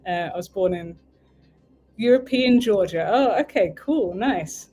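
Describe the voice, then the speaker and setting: A woman's voice: mid-range voice, expressive, unhurried, warm tone, husky. A woman from the UK with an English accent, hosting a casual livestream as a tarot reader, chatting in a meandering way and breaking off to fix her stream. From United Kingdom.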